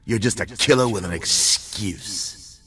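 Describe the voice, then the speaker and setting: Game villain: self-proclaimed god, low, slow, mocking and menacing. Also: male voice, a bit bright. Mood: cruel, taunting. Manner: slow, taunting, theatrical drawl. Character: taunting, self-styled god, menacing cold-blooded killer, male. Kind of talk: dramatic video game villain monologue.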